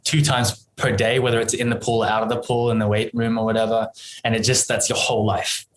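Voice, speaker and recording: A man in his thirties with an Australian accent, a podcast guest telling his story in a relaxed, rambling way, full of ums and you-knows. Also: medium pitch, brisk, slight background noise. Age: 30s. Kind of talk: casual interview chat.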